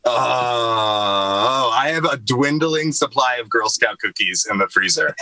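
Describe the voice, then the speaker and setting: A man with a bright, forward voice talks in an easygoing, chatty way. He sits in a truck cab, and the sound is close and dry. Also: medium pitch, lively intonation, takes it slowly, no room sound, poor audio. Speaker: guest musician. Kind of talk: casual video-call interview. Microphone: video call.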